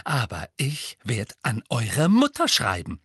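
A man's voice: medium pitch, very animated, normal speed, sharp tone, no echo, studio quality. A man voicing a strong-willed teenage schoolgirl in an audiobook story, bossy and indignant, speaking in an emphatic, scolding way. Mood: grim, threatening.

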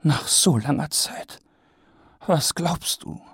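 A man voicing an excited noblewoman who is enraptured by dragons, in a raised, airy voice set back from the mic. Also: a little high, slow pace, gravelly, husky, no echo, studio quality, pitched above the performer's own voice, heavily acted. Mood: musing. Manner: excited, gushing, rapt. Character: noblewoman, eager dragon enthusiast, breathless.

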